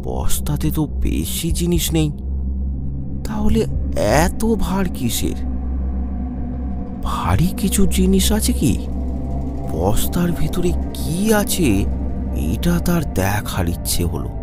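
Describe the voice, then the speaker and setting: A man narrating a suspenseful animated horror story in a dramatic storytelling way. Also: on the high side, slow, pauses often. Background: background music.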